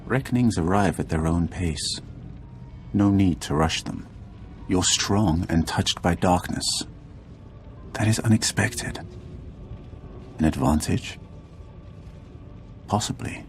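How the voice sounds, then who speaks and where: An actor playing an emperor's coldly patient executioner in a video game, measured and cryptic in his menace. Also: low voice, slight background noise. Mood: coldly intrigued. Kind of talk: video game villain acting. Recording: game music under the voice.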